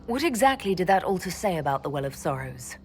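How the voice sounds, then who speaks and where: A woman's voice: on the low side, sing-song, fast talker, darkish tone, faint music behind. An actress playing a skeptical, direct heroine who leads others in a fantasy game, serious and probing in her questions. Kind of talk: dramatic scripted game dialogue.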